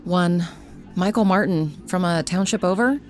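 An actress playing a composed, discreet professional woman in measured, naturalistic audio drama dialogue. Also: lowish voice, a little mellow, faint music behind, close to an everyday voice. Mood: calm, guarded.

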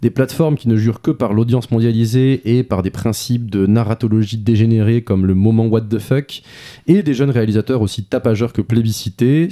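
A man's voice: on the low side, mellow. A man hosting a film discussion podcast who hesitates in debate and reads his scripted review fluently. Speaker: podcast host.

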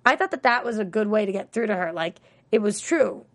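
A woman's voice: mid-range voice, expressive, a little fast, fairly bright tone. A woman co-hosting a casual TV recap chat, chatty and full of questions, laughing often. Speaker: co-host.

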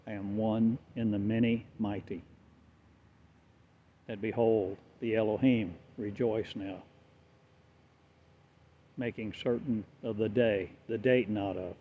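A man declaiming repetitive, incantatory prophetic verses, his delivery swelling louder and fading away. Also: lowish voice. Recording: tinny phone-like audio with faint noise.

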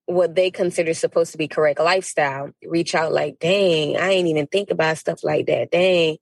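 An American woman from Miami speaking as a podcast guest in a casual interview, telling stories in an animated, emphatic, slangy way. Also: low-pitched, normal speed, creaky, no room sound. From Miami, United States.

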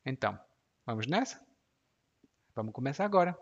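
A man, in his thirties, explains clearly and slowly like a patient teacher and laughs easily. His voice sits at a medium pitch. The recording sounds somewhat dull and muffled. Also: big pitch swings. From Ceará, Brazil. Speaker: Portuguese teacher. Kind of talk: podcast language lesson.

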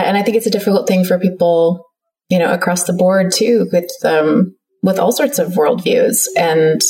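A woman's voice: on the low side, a little mellow. A woman speaking as a podcast guest on empathy, reflective and casual, often hedging with small fillers. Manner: reflective, hedges with "like" and "you know". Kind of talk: casual interview chat. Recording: slightly distant mic.

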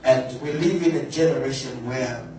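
Male preacher, measured emphatic delivery; distant mic in a very reverberant room. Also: mid-range voice, darkish tone, a little hiss or hum. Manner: slow, emphatic, repeats key words. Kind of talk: sermon. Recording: very echoey room, voice from afar, faint music.

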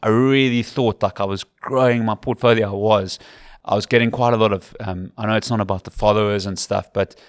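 A man's voice: fairly low pitch, fairly even intonation, brisk, no room sound, clean studio sound. A man in his thirties with a Cape Town South African accent, telling his story earnestly as an interview guest, repeating words for emphasis. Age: 30s. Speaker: rugby commentator, podcast guest.

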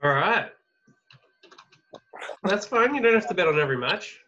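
A man hosts a casual betting chat, swearing and laughing as he reads out odds. His voice sits a touch high. The sound is dull and lo-fi, with a faint hiss behind it. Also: lively intonation, moderate pace, pushed and bright. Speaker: podcast host taking bets. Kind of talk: casual podcast banter.

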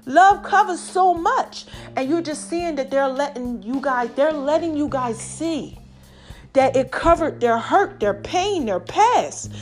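A woman with a slightly raspy voice, chatting casually and preaching to her followers, calling herself Mama. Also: sits high, slow, soft background music. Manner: animated, calls herself Mama, addresses viewers as y'all. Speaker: online commentator.

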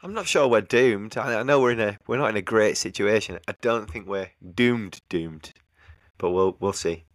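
A man's voice: fairly low pitch, moderate pace, no echo, studio quality. A man co-hosting a casual football podcast over a remote call, hesitant and repeating words as he thinks. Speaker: podcast co-host. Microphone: remote call recording.

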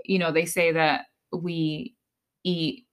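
A woman's voice: sits low, flat delivery, slow, a little hiss or hum. A woman from Puerto Rico with a Puerto Rican accent, co-hosting a podcast in casual chat, mixing in Spanish and laughing often. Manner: chatty, laughs often, mixes Spanish into English. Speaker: podcast co-host.

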